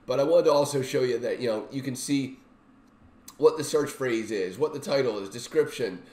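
A middle-aged man with a voice worn by illness gives an informal, enthusiastic software walkthrough as he shares his screen. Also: mid-range voice, normal speed. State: sick, recovering from a lost voice. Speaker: software reviewer and demonstrator. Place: small indoor home room. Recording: roomy, echoey home-room sound.

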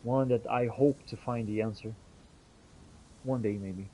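A man's voice: medium pitch, fairly even intonation, a little slow, mellow, slight background noise, lightly acted, poor audio. A man role-playing a musing, companionable fantasy adventurer in a video game, speaking in theatrical, archaic phrasing as he comments on his journey. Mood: inquisitive. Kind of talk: in-character gameplay commentary.

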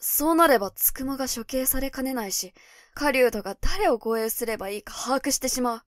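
An actress playing a calm, calculating young female werewolf in a voice drama, speaking matter-of-factly. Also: high voice, brisk, dry recording, clean studio sound. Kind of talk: Japanese voice drama acting.

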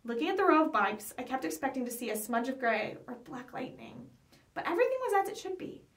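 A woman's voice: sits high, very animated, fast. A young adult woman reading a children's chapter book aloud expressively, with small stumbles over words. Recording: voice picked up from some distance.

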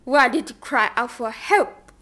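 A woman's voice: on the high side, measured pace, fairly bright tone. A woman voicing angry villagers in a puppet show, scolding the shepherd boy. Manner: scolding, indignant.

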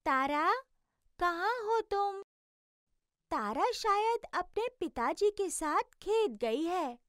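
High squeaky little bird, voiced by a woman, chirpy, curious, slow, dry studio sound. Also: expressive, a bit bright, no room sound, heavily acted. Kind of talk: educational cartoon voice acting.

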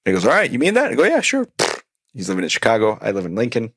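Animated man laughing through stories. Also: mid-range voice, very fast, breathy edge, no echo. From Colombia. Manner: animated, laughs often, acts out quotes. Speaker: podcast guest, stand-up comedian. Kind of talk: casual interview storytelling.

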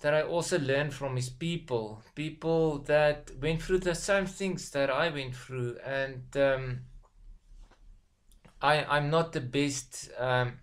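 A man in his forties with an Afrikaans accent, speaking English as a second language in a casual motivational video, halting with many ums and restarts, filmed by a webcam in a small room at home. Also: fairly high pitch. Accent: South African Afrikaans. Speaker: online business vlogger. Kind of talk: casual motivational talk to camera. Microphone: webcam at desk distance.

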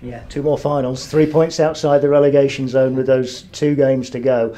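A man's voice: mid-range voice, level tone, normal speed, mellow. A man interviewing a player after a match, asking conversational questions that end in tag questions. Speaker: club TV interviewer.

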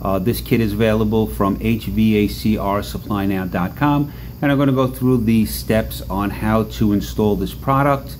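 A man talking through a hands-on repair demonstration in a relaxed, halting way with many fillers, recorded off-camera at an indoor workbench. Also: on the low side, slight background noise. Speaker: product demonstrator.

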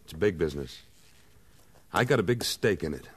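A man's voice: sits low, expressive, brisk, a little hiss or hum. An actor playing a tough, laconic American cattleman in an old-time radio western, speaking in a terse, self-assured way. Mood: hard, proud. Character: tough, laconic cattleman gunslinger, a former cavalryman. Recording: old radio recording, faint music.

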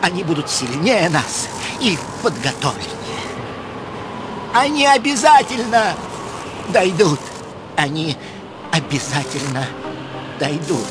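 A man voicing an earnest, philosophizing animal dreamer in a children's cartoon, with lofty, pleading, drawn-out phrasing. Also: on the high side, big pitch swings, background noise. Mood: exhausted, determined.

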